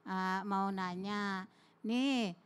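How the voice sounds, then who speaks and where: A middle-aged woman from Banten, Indonesia, casually asking for advice as an audience member, in halting short fragments. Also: medium pitch, clear, resonant tone. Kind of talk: unscripted casual question.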